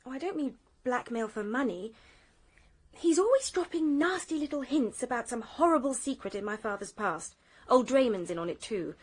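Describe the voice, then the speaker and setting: An actress playing a headstrong, indignant young British woman in a radio mystery, forthright and emphatic. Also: a little high.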